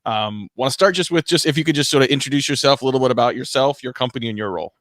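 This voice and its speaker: A man's voice: medium pitch, racing, a bit bright, dry recording, studio quality. A man hosting a live online interview, asking friendly, upbeat questions with a few fillers. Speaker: interview host. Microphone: podcast-style microphone.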